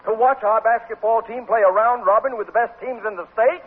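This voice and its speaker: An actor playing a pompous, blustering middle-aged high school principal in 1950s radio comedy. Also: male voice, fairly even intonation, a little fast. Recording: tinny, noisy 1950s radio recording.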